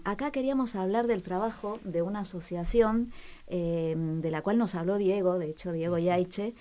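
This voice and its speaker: A woman with a medium-pitched voice presents a casual radio culture segment. She talks conversationally, pausing to find her words and repeating phrases for emphasis. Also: mellow. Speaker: co-host of a cultural radio show.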